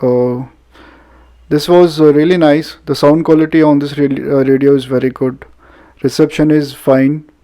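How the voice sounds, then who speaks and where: A man with an Indian accent giving a casual, halting product demonstration as a radio reviewer. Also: a little high, fairly even intonation, dark tone. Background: radio music playing.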